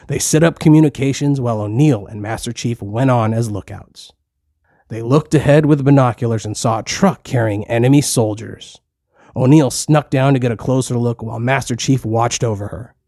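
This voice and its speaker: A man's voice: mid-range voice, dark tone, no room sound. Man narrating a story in a dry, close recording. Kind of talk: scripted children's story narration.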